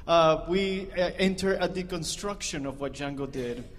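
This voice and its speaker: A man's voice: on the high side, normal speed. A man leading a band, chatting and joking with the audience between songs on a concert stage. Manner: joking, chatty, laughs at own jokes. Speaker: band leader. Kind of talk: onstage banter between songs. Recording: live concert hall reverb.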